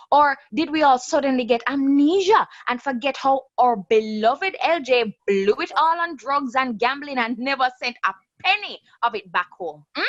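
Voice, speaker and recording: A woman's voice: sits high, dry recording, highs cut off. A woman playing a bitter, angry grown daughter in a radio drama, mocking and confrontational.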